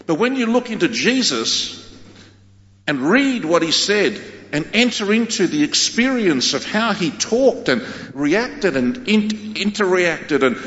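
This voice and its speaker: Animated preacher in an echoey hall. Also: male voice, high voice, unhurried. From Australia. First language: Greek. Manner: emphatic preaching with repeated phrases. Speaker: pastor. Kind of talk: sermon. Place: large church hall. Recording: echoey hall, dull sound.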